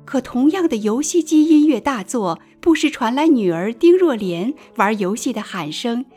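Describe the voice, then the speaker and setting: A woman's voice: fairly high pitch, lively intonation, normal speed, mellow, breathy edge. A woman giving scripted dramatic narration for a radio play. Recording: music under the voice.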